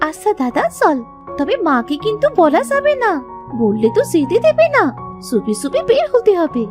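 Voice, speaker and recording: A woman's voice: very high voice, huge pitch swings, moderate pace, music in the background, exaggerated voice. A woman playing a little village girl, an eager but easily frightened sister with a childlike, pleading manner, in a children's cartoon.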